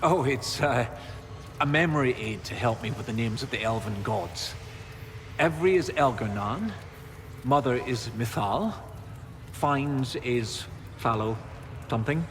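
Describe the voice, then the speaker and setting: An actor playing an absent-minded, excitable male professor of history in a video game, halting and muttering as he thinks aloud. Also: medium pitch, lightly acted. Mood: flustered. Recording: reverberant game hall, noisy ambience.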